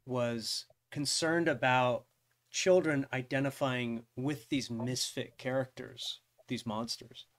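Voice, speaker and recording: A man's voice: medium pitch, fairly even intonation, slow pace. A man hosting a live Q&A, asking careful, probing questions and thinking them through as he speaks. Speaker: host and interviewer. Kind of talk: live Q&A interview.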